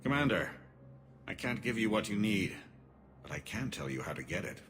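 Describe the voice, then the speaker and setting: A man playing a formal, measured alien councilor in a video game cutscene. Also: on the low side, sing-song, a bit bright. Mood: grave, measured.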